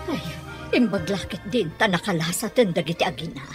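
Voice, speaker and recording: A woman with an Ilocano accent playing a bossy, nosy middle-aged mother in a radio drama, with a husky voice. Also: on the low side, fairly quick, faint music behind, lightly acted. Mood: firm, advising.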